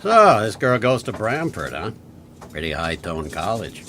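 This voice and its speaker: Radio drama police detective: very low, animated, gruff, grumbling, heavy sarcasm. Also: male voice, measured pace, a little hiss or hum. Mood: sardonic. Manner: grumbling, sardonic.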